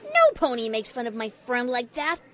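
A woman's voice: on the high side, lively intonation, fairly quick, close to an everyday voice. A woman voicing a brash, protective tomboy pegasus mare from a cartoon, with a blunt and feisty manner. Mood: furious.

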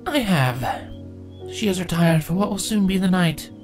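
A man voicing a dutiful female changeling queen in a storybook reading, raised in pitch, measured and formal. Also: animated, faint music behind. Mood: solemn.

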